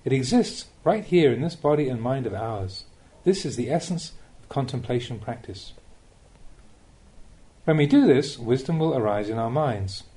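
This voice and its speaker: Male narrator for spiritual audiobook: soft mellow tone, animated pitch movement, measured teaching delivery. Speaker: narrator reading Buddhist teachings. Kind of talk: audiobook reading of a spiritual talk.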